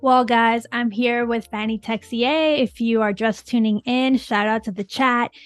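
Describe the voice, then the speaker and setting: A woman hosts a live online stream, greeting viewers and calling out names from the chat with open enthusiasm. Her voice sits a little high, and it is recorded close and dry with no room sound. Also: steady tone, a little slow, no room sound, faint music behind. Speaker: livestream host. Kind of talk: upbeat livestream hosting.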